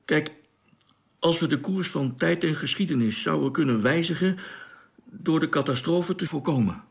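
A male radio operator aboard a spaceship in a radio drama. His voice sits a little high with a gravelly creak. He thinks aloud in a hesitant, candid, informal way. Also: normal speed. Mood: pensive, uneasy. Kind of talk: radio play acting.

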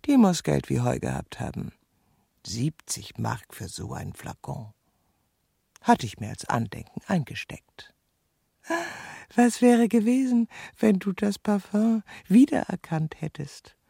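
A woman's voice: deep voice, gravelly, dark tone, slightly rough, full character voice. An actress in a radio drama playing a lovesick, scheming insurance clerk in her fifties, intense and bitter, moving between pleading and insistence. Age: 50s. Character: lovesick, scheming, defensive insurance clerk woman, fifty-two. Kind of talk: radio drama acting, dialogue and confessional monologue.

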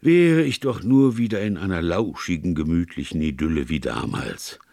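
A deep, slightly gravelly priest detective, read by a man, earnest and philosophical. He reasons at length with calm sincerity, and his voice rises and falls freely, as in an audiobook crime story. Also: moderate pace, dry recording, clean studio sound, exaggerated voice. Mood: rueful, earnest. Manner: even-tempered, sincere, discursive. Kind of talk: audiobook character dialogue.

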